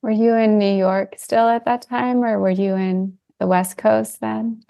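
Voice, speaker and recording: A soft-voiced woman hosting a podcast, asking questions and relating them to her own experience. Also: mid-range voice, steady tone, a little slow, creaky, no echo, studio quality. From California. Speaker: podcast host. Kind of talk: conversational interview.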